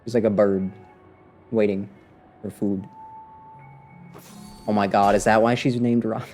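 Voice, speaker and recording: A man's voice: fairly low pitch, fairly even intonation, a little slow, darkish tone. A man giving casual live commentary as a game streamer, in a wry, offhand, joking way. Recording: game music under the voice. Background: game music.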